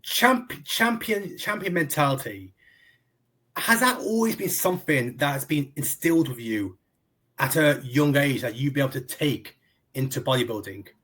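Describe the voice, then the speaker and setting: A man's voice: mid-range voice, lively intonation, normal speed, fairly bright tone, breathy edge, low-quality recording. Chatty British male interview host. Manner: chatty, friendly, calls people "mate". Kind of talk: casual interview hosting.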